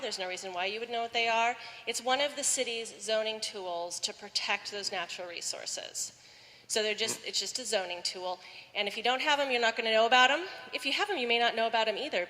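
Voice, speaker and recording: A woman with a loud voice giving a brisk, friendly informational presentation as city planning staff at a neighborhood meeting. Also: medium pitch. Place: community meeting room. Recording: reverberant meeting hall, faint room noise.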